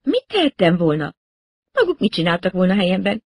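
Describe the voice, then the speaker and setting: A man voicing a frightened, breathless young woman pilot in an audiobook, talking in a high, excited rush. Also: husky, no echo, heavily acted. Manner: high, excited, rushed pleading.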